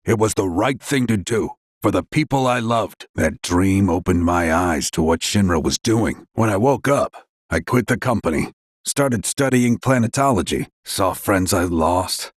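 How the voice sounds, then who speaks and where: Low-voiced, sombre ex-reactor worker. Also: male voice, animated, measured pace, no room sound, studio quality. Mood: sombre, earnest. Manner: plain-spoken, sombre, confessional. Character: regretful former reactor maintenance worker turned planet scholar. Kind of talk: video game character dialogue.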